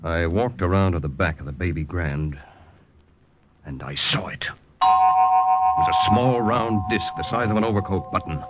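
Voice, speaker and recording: A man's voice: low voice, normal speed, long pauses, heavy background noise, lightly acted. An actor playing a cool, calculating male spy who poses as a collaborator in an old radio drama. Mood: grave, confiding. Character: male OSS agent posing as Nazi collaborator, cool, calculating. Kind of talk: 1940s-50s radio drama acting and first-person narration. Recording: old radio recording with music behind.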